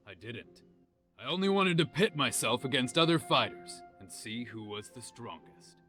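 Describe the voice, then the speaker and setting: Earnest, disciplined young male fighter for a game: forceful, expressive, humble, with music behind. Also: medium pitch. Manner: earnest, measured. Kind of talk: video game character acting.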